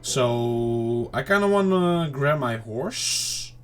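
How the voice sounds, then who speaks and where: A mid-pitched man chats loosely to his viewers while he plays, talking half to himself as he goes. His throat sounds a bit off and he keeps clearing it. Soft game music plays quietly behind him. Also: lively intonation, slow pace. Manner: rambling, chatty self-talk to viewers. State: throat slightly off, clearing it often. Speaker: gaming channel host. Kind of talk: casual let's-play commentary.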